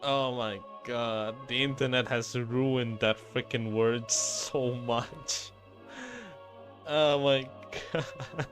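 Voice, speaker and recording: A man's voice: mid-range voice, steady tone, extremely slow, fairly bright tone. A man giving casual, informal and reactive gameplay commentary as a streamer, mildly profane. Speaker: gaming streamer. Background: faint game music.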